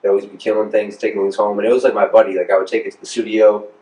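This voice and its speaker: Fast-talking American man, roomy echo. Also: on the low side, flat delivery, darkish tone, far from the mic. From Rhode Island, United States. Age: 30s. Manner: informal, slangy, rambling. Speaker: music producer being interviewed. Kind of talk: casual interview chat. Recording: roomy, echoing, off the mic.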